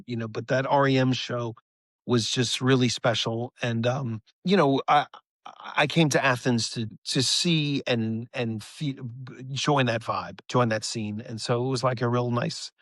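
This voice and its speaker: A man's voice: medium pitch, steady tone, a little slow, a bit bright, no echo. An American man talking casually as an interview guest, earnest and rambling, often repeating a phrase as he tells his story. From United States. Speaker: rock musician, interview guest.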